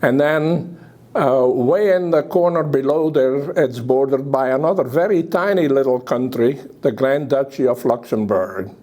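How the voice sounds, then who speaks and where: An older man with a Belgian accent giving a public talk about his memories, speaking haltingly with pauses. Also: mid-range voice, mellow. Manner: halting, hesitant delivery with pauses. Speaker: guest speaker.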